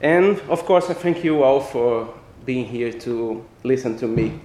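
A man's voice: mid-range voice, expressive, unhurried, dark tone, slight background noise. Casting: male law professor, Portuguese-speaking Brazilian accent, careful non-native lecturing, mid-range voice in a reverberant room. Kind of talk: academic lecture. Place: echoey indoor room.

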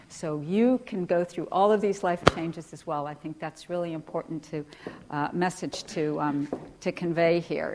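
Brief: middle-aged woman from Ecuador, American accent, low pitch, guest lecturer, informal and full of fillers. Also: a little mellow. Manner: conversational, frequent fillers and restarts. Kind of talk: lecture to a class.

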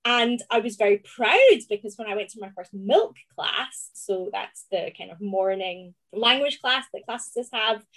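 A woman with a Glaswegian accent, speaking as a classics researcher in a chatty, animated interview and laughing often. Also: medium pitch, moderate pace, hardly any pauses. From Scotland. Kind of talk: conversational podcast interview.

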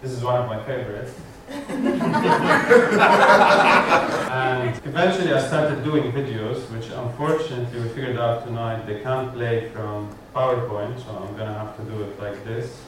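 A man with a Lebanese accent gives a humorous lecture, tossing wry asides to his listeners. He sounds distant from the mic in a strongly echoing hall, with a steady murmur of room noise behind him. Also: mid-range voice, a little slow. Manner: wry, joking asides to the audience. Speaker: guest speaker, satirist.

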